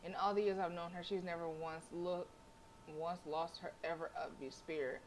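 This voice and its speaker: Low-voiced woman reading aloud over background noise. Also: fairly even intonation, normal speed. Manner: casual asides with y'all, stumbles and self-corrects. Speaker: livestream host reading a visual novel aloud. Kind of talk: dramatic read-aloud of story narration with casual asides.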